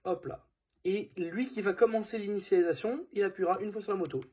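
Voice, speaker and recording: A man's voice: high-pitched, a little fast, a little mellow, husky. A man presenting a product in a casual hands-on tutorial, informal and thinking aloud as he goes.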